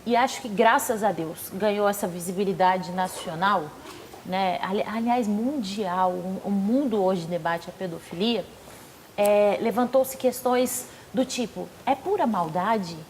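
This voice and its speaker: A woman hosting a studio talk show, introducing guests with gushing, effusive praise and quick chatty asides. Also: medium pitch, darkish tone, slight background noise.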